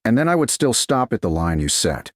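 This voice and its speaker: Guy reading as a calm low-voiced duke, soft and measured, dry close sound. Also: no echo. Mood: calm, steady. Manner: quiet, measured, softly spoken. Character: composed restrained male duke, gentle and exact.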